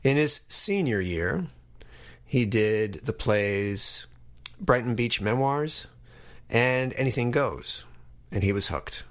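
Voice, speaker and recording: A man's voice: mid-range voice, lively intonation, slow. Middle-aged man hosting a casual podcast. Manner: conversational, talks directly to listeners. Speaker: podcast host. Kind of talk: casual podcast introduction to a guest.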